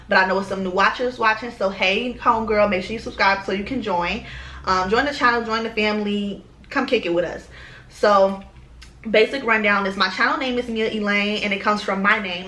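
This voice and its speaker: Casting note: woman, bright voice in an echoey room, loose and chatty. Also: mid-range voice. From Chicago, US. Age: 20s. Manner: chatty, rambling with many ums and slang. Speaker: lifestyle vlogger. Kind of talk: casual chat to camera.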